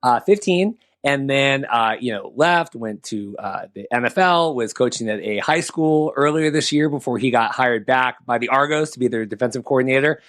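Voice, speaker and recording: A man's voice: mid-range voice, expressive, normal speed, hardly any pauses, a bit bright, no room sound. A man hosting a sports podcast, talking casually in a rambling, conversational way. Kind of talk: casual sports talk with banter.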